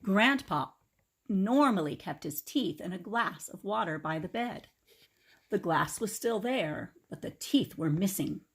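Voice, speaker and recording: A woman's voice: mid-range voice, expressive, unhurried, husky. A woman reading a picture book aloud to young children, narrating expressively and adding chatty asides.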